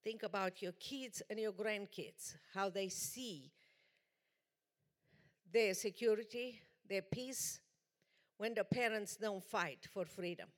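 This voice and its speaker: A woman with a Romanian accent tells her story slowly and earnestly, leaning on key words. Her voice sits at a middle pitch. It rings with long reverb through a church hall. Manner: earnest, deliberate, emphatic. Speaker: guest speaker. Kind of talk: personal testimony talk.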